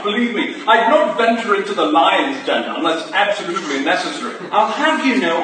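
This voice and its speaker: An actor playing a jovial, teasing gentleman who banters and exclaims in a staged play reading. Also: high voice. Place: live staged reading in an echoey room. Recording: noisy, echoey room recorded from far off.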